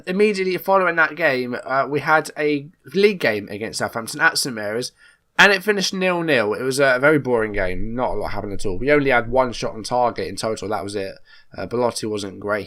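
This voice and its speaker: A man's voice: mid-range voice, pushed and bright. A man with a slight cold hosting a casual let's play video, recapping matter-of-factly in a conversational way. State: coming down with a cold. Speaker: YouTube gaming channel host.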